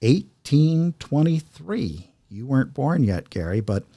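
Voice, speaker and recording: A man hosting a podcast in a chatty, halting, explanatory way, sometimes reading verse aloud. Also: mid-range voice, sing-song, warm tone, no echo. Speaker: podcast host. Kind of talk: casual podcast talk and poem reading.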